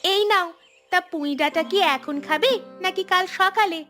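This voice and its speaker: Very high-pitched chirpy housemaid. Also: female voice, unhurried, fairly bright tone, soft background music. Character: chatty, cheeky young female village housemaid. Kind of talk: cartoon voice acting.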